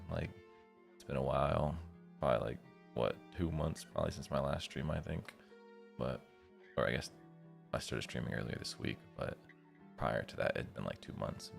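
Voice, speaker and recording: Man, very low pitch, heavy vocal fry, visual novel streamer, reads aloud with casual asides, faint guitar music behind. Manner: reads narration, breaks off to comment casually. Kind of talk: live stream reading and chat. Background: guitar music from the game.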